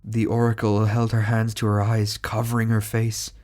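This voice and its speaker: A man with a stuffy cold voice narrating a horror story in a measured, slow, dramatic delivery. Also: lowish voice, completely flat delivery, darkish tone. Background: music.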